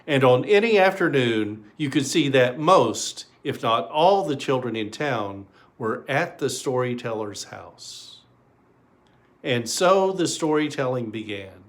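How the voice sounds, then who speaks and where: A man reading a children's story aloud in an expressive way, with deliberate pauses. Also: mid-range voice.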